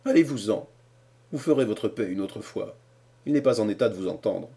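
A man voicing a calm, courteous man from Brittany who speaks politely and evenly. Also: fairly low pitch, moderate pace. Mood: composed. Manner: polite and composed.

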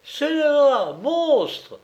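Un mec qui fait une grosse foraine furieuse, voix suraiguë qui crie fort. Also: male voice, unhurried, a little mellow, lo-fi sound. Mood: furious. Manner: shrill scolding shrieks. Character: huge puffing woman showman, furious and loud.

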